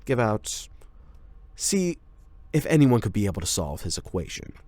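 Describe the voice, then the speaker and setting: A man acts out a rebellious male high school delinquent in an anime-style audio roleplay. The voice sits low and is captured very dry, with no room sound. He talks casually and irreverently, with sardonic, slangy swagger. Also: big pitch swings, normal speed, a little mellow, no echo. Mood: smug, amused.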